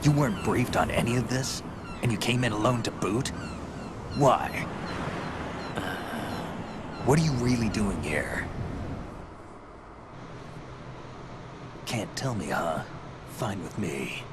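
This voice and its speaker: Low-voiced, world-weary military veteran. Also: male voice, animated, a little slow, long pauses, very noisy. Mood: probing. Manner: gruff, sardonic, matter-of-fact. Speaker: video game character. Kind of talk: dramatic game cutscene dialogue, exposition. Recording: very loud soundtrack music behind.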